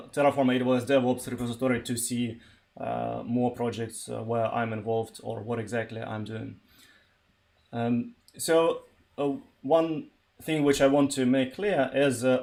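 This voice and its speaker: A man from eastern Ukraine, a native Russian speaker with a Russian accent, talking informally and haltingly on a tech livestream. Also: mid-range voice, gravelly, darkish tone. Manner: halting, searching for words. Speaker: live streamer, software developer.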